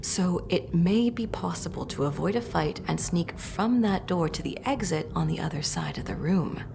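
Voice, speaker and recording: An actress playing a wary, sardonic female thief who grumbles in a hushed, gruff way in a fantasy audio drama. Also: fairly low pitch, animated, moderate pace, background noise. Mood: wary, dry.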